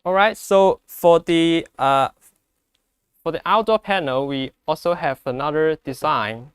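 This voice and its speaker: A man who speaks English as a second language gives an unscripted product demonstration, explaining step by step in a halting way. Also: fairly high pitch, clear, resonant tone, no echo, clean studio sound. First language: not English. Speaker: product presenter.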